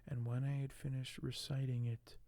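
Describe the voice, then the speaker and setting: A man doing ASMR in a soft, hushed, often whispered voice, halting and unhurried as he shows items and reads aloud close to the microphone. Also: mid-range voice, flat delivery, dry recording. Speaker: ASMR video creator. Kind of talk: ASMR unboxing and reading aloud.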